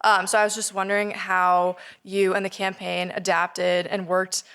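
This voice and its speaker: A woman's voice: medium pitch, little pitch movement, normal speed, fairly bright tone, clean tone. A woman in her twenties from Singapore, a university student putting a prepared question to a guest speaker. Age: 20s.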